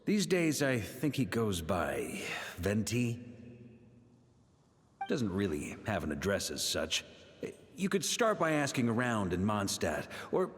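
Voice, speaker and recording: A man's voice: on the low side, huge pitch swings, slow, slightly rough, exaggerated voice. A man voicing a hearty, easygoing and bombastic adult knight commander in an anime video game. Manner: hearty, theatrical.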